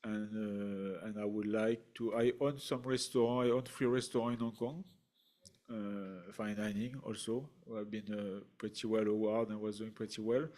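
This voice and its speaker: A middle-aged French chef with a strong French accent, speaking English haltingly at a public meeting, searching for words between many fillers. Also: male voice, fairly low pitch, fairly even intonation, warm tone. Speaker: restaurant applicant, chef.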